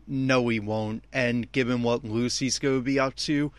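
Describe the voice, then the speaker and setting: A man's voice: medium pitch, fairly even intonation, measured pace, smooth, dry recording, clean studio sound. A man giving off-the-cuff, opinionated audio commentary on an anime episode, speaking emphatically.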